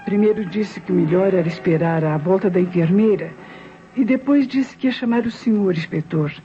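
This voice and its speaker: A Brazilian actress playing a deferential, loyal housemaid in a radio drama, giving a respectful and earnest account. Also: female voice, on the low side, normal speed, dark tone, slight background noise, natural voice. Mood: uneasy, earnest. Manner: respectful, earnest, narrating step by step. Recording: dull old radio recording, music behind.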